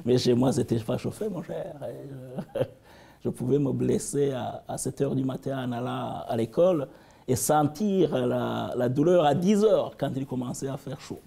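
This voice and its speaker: A man from the region, answering interview questions thoughtfully, with halting restarts. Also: mid-range voice, vocal fry, slightly rough. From African Great Lakes region. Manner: thoughtful, halting, with frequent restarts and warm asides.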